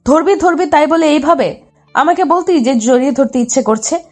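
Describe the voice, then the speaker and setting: Woman acting a high-voiced headstrong lovesick girl. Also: moderate pace, darkish tone, natural voice. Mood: flustered, annoyed. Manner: insistent, childish pleading. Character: headstrong lovesick young woman, pouty injured daughter. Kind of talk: dramatised love story narration. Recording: music behind the voice.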